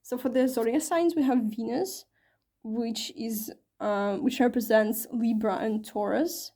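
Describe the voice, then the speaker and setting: A woman's voice: fairly high pitch, measured pace, mellow. A woman giving a casual tarot reading to her viewers, chatty and full of fillers and self-corrections, her voice a little strained from talking for a long time. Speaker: tarot reader.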